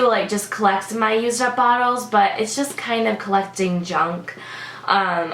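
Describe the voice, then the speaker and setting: A woman's voice: medium pitch, fairly bright tone, echoey room, slight background noise. A woman beauty vlogger with a congested, sick-sounding voice, chatting casually through a product review with lots of "like" and "um". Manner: chatty, many "like" and "um" fillers.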